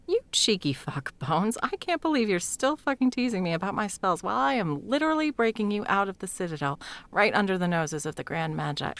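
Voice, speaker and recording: A woman's voice: mid-range voice, big pitch swings, fairly quick, hardly any pauses, fairly bright tone. A woman playing a brash, sweary, bossy sound mage with a teasing fondness, in an intimate audio roleplay. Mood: flustered, indignant. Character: brash, foul-mouthed, teasing female sound mage, secretly smitten. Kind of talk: intimate second-person audio roleplay acting.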